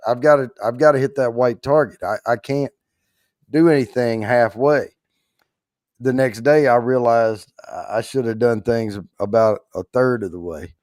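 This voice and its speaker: Livestream host, male, mid-pitched, hoarse and strained, rambling folksy chat, keeping his volume down. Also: moderate pace, darkish tone, no echo, studio quality. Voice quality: rough, strained voice. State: hoarse, worn out after allergy attack. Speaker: host of a whiskey giveaway livestream.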